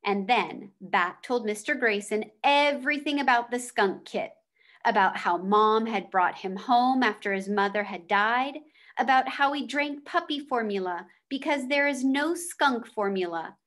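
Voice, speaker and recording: A woman's voice: a little high, unhurried, a bit bright. A woman reading a children's novel aloud as a storyteller. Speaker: read-aloud reader.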